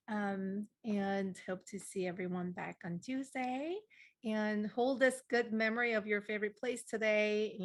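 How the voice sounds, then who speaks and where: A woman leading an informal group listening session, conversational and halting as she searches for words. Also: medium pitch. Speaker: facilitator of a deep listening session.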